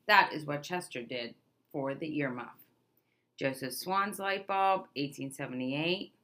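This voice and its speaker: A woman's voice: deep voice, measured pace, bright, forceful tone. A woman reading a children's picture book aloud, expressively, stressing key words as a storyteller does for young listeners. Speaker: read-aloud presenter.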